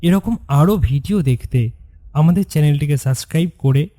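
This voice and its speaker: A man's voice: on the high side, moderate pace, dark tone. A man narrating a horror story in a dramatic, scripted style. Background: music.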